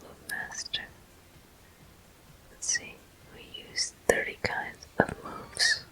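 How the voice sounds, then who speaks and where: A breathy whisper with a very bright, crisp edge narrates video game play in ASMR style. The delivery is soothing and unhurried, gently encouraging, and spoken close to the microphone. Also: rough recording. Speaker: game streamer. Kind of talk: whispered ASMR gameplay commentary.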